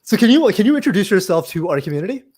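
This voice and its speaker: A man's voice: sits high, sing-song, very fast, a little mellow, husky. A man hosting a programming meetup livestream over a video call, informal and friendly with lots of "uh" and "like". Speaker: host of a Python meetup livestream. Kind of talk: casual livestream interview hosting.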